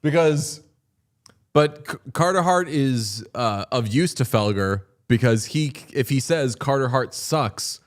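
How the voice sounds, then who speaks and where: This is a man in his late thirties with a smooth, clear voice, chatting loosely during a hockey watchalong. He tells long, wandering stories with dry humor and drops the odd curse word. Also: medium pitch. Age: 30s. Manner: wry, rambling storyteller who swears. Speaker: podcast co-host. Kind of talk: casual watchalong chat.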